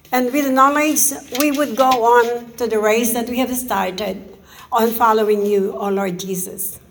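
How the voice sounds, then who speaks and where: Soft-voiced woman preaching and praying. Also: a little high. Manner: earnest, with halting restarts and repeated "oh Lord". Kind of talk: sermon and spoken prayer.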